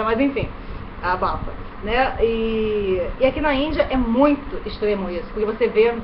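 A woman in her thirties with a Rio de Janeiro accent, chatting casually to her webcam in a bedroom, often tagging her sentences with quick questions. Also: mid-range voice. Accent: Rio de Janeiro Brazilian Portuguese. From Brazil. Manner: chatty, tag questions, gesturing hands. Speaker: vlogger. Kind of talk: casual chat to camera. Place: bedroom indoors in India. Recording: dull webcam audio with fan noise. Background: electric fan running.

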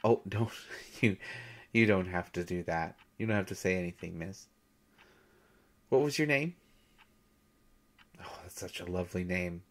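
Audio drama role, male actor: shy male god posing as substitute teacher, lowish voice, very slow halting delivery, gentle storyteller. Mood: thoughtful, playful. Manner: hesitant, nervous, halting with soft chuckles. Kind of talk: intimate audio roleplay, storytelling lecture.

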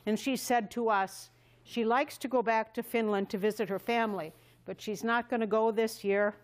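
A woman's voice: a little high, fairly even intonation, normal speed. A woman in her seventies, chatty and effusive, hosting an informal cooking demonstration. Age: 70s. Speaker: cooking class host. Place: large wood-paneled community hall.

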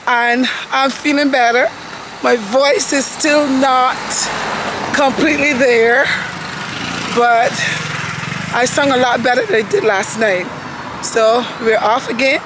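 A middle-aged woman with a hoarse voice, chatting straight to her phone camera on a travel vlog. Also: high-pitched, fairly even intonation, slow pace, long pauses. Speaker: vlogger. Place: outdoors on a city street and in a park. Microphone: phone held at arm's length. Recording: street and traffic noise behind.

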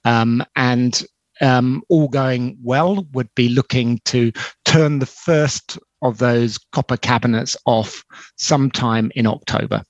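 Middle-aged British man, gravelly fry, dull video-call line, explanatory and halting. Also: mid-range voice, no echo. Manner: measured, explanatory, frequent ums and restarts. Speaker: telecom company spokesperson. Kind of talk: explanatory interview answers. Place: small indoor room on a video call. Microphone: headset.

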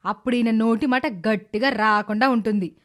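A cruel middle-aged stepmother from a cartoon moral tale, played by a woman, speaks in a high voice. She scolds with scorn and sarcasm, sounding resentful and calculating. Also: normal speed, natural voice. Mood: scheming. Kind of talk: animated moral story character dialogue.

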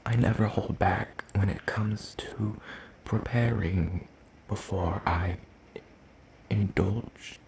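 A man voicing a hungry, soft-spoken demon in a hushed ASMR roleplay, halting and deliberate, sinister yet apologetic. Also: fairly low pitch, vocal fry, slight background noise. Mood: sinister, savoring. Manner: slow, halting, hushed delivery with deliberate pauses.

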